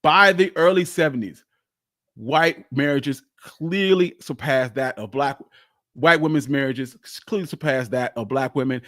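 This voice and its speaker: A man's voice: medium pitch, fairly bright tone, dry recording, clean studio sound. Emphatic, conversational man hosting a livestream. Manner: conversational, emphatic, repeats phrases for weight. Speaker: host of a history live stream. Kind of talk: casual live talk answering audience questions.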